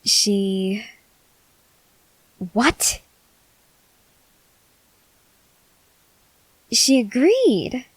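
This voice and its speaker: Female vampire lover for ASMR roleplay, played by a woman: possessive, softly threatening, unhurried delivery with whispered touches, dry close-mic sound. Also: on the high side, sing-song, dry recording, full character voice, rough recording. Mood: jealous disbelief. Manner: slow, measured, intimate, with breathy whispered moments. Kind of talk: ASMR roleplay acting, addressed to the listener.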